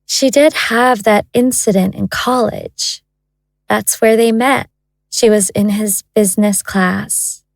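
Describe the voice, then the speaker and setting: An actress playing a wry, hard-boiled storyteller, narrating a crime tale in a deliberate, matter-of-fact way. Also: female voice, medium pitch, vocal fry, dry recording. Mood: wry, confiding.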